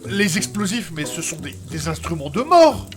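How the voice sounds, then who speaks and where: An actor playing a prim, easily shocked gentleman in a comedic audio drama, speaking formally and preciously. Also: fairly high pitch, big pitch swings, moderate pace. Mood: appalled. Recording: music behind the voice.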